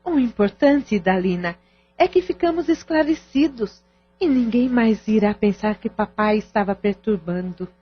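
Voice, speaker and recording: A pious, grateful family woman played by a woman talks earnestly and formally. Her voice is husky and of middle pitch, in audio drama style on a muffled recording. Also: expressive, normal speed. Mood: grateful, relieved.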